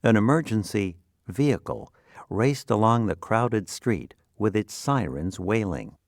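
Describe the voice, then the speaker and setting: A man reading scripted word definitions and example sentences for learners in a deliberate, instructional manner. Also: fairly low pitch, expressive, dry recording, studio quality. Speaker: dictionary narrator.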